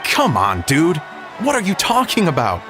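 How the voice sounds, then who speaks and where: A man's voice: a little high, wildly swooping pitch, normal speed. A man voicing a flustered, sceptical young bystander in a video game, stammering and incredulous, with music behind.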